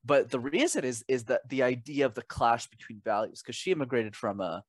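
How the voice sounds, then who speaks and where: A man's voice: medium pitch, animated, a little fast, dry recording. Young man, dry close sound. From Canada. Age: young adult. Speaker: interview guest, communication coach. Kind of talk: conversational advice and personal anecdotes.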